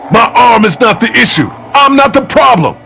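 An actor playing a desperate, earnest, bitten survivor in a zombie video game, sounding strained. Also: male voice, extremely high pitch, expressive, moderate pace, creaky, pushed and bright, faint music behind, full character voice. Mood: desperate, angry. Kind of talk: dramatic video game acting.

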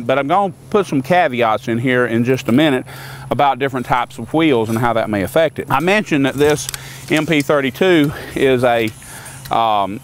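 A man's voice: mid-range voice, slight background noise. An older man on a lawn beside a sawmill, plainly explaining equipment in an unscripted, folksy way with many fillers and restarts.

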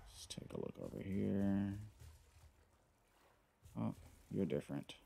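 A man's voice: low voice, slow, vocal fry, dark tone, slight background noise. A man hosting a gaming video, chatting casually and rambling with lots of fillers as he plays. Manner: laid-back, rambling, many fillers. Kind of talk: casual gameplay commentary.

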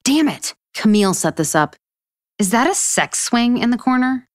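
Sarcastic, flustered author, very animated. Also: female voice, on the high side, normal speed, no room sound, clean studio sound. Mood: exasperated, incredulous. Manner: sarcastic, excitable. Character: sarcastic, flustered, easily exasperated woman author. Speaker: actor in a romantic comedy audio drama. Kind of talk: romantic comedy audio drama acting.

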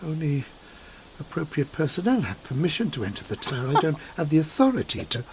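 An anxious, dutiful male caretaker in an audio drama. His speech is formal and hesitant with very lively pitch, over clear background noise. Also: a little fast. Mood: nervous, reluctant. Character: timid, dutiful, rule-bound man, anxious caretaker.

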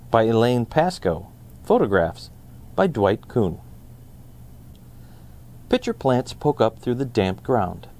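A man in his thirties hosting a children's read-aloud, presenting nonfiction in a deliberate, emphatic storytelling way. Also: on the low side, mellow.

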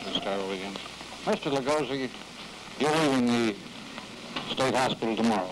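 A man's voice: medium pitch, a little fast. A man interviews for the news, bluntly and informally, often rephrasing his questions. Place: outdoors on a building porch. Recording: noisy 1950s newsreel recording.

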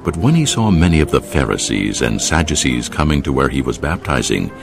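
A man with an extremely deep, creaky voice narrates scripture. His solemn storytelling moves expressively up and down as a scripted dramatic reading. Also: big pitch swings, fairly quick.

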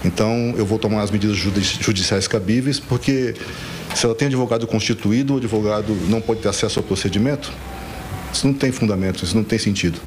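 A man in his sixties with a Brazilian accent, speaking formally and haltingly as an interviewed lawyer into a handheld mic. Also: on the low side. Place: book-lined office. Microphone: handheld reporter's microphone. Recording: clear background noise.

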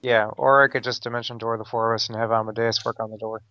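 A man playing a tabletop game over a video call, easygoing and quick to laugh. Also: mid-range voice, little pitch movement, no room sound, lo-fi sound. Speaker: player. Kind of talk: casual tabletop game chat.

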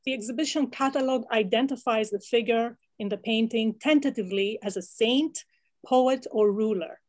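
A woman's voice: on the high side, a little mellow. A woman presenting as an academic, speaking from prepared material over slides on a video call.

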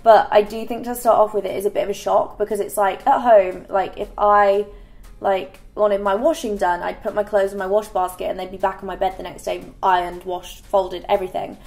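A woman's voice: medium pitch, flat delivery, fairly quick, gravelly, darkish tone. A young woman, chatting casually in a get-ready-with-me vlog and filling her talk with "like". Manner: chatty, filler-heavy with frequent "like". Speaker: beauty vlogger. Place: bright living room set.

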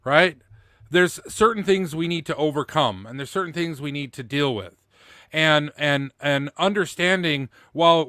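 A middle-aged man speaks off the cuff in a forceful, slightly high voice. He is blunt and indignant and swears freely. The sound is dry and close, from a studio microphone. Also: no echo. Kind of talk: opinionated unscripted commentary. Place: small indoor home studio. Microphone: close studio microphone with pop filter, headphones.

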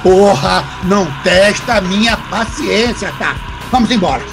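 Man acting a brutal, high-voiced raging father. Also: measured pace, gravelly, music in the background. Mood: furious. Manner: aggressive, cursing, barking orders. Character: brutal, threatening, bigoted middle-aged father. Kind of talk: audio drama acting.

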